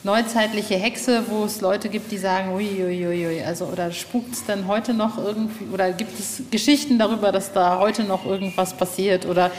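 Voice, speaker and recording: A woman's voice: medium pitch, steady tone, normal speed, hardly any pauses, a little mellow. Woman interviewer in an echoey hall. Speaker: podcast interviewer. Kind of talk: casual interview chat. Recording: echoey hall, faint ambient noise.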